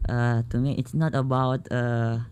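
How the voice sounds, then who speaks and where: A man's voice: mid-range voice, little pitch movement, unhurried, darkish tone, a little hiss or hum. A man with an Indonesian accent, a radio guest answering briefly and politely with hesitations.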